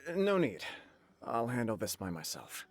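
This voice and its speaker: A man's voice: medium pitch, wildly swooping pitch, normal speed, soft background music. An actor playing a young male noble clan heir, earnest and weighed down by duty. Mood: self-reproaching. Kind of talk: game cutscene dialogue.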